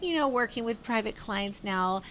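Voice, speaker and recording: A woman, founder of a youth culinary program, telling stories in a casual interview and quoting herself in lively asides. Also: on the high side, moderate pace, a little hiss or hum.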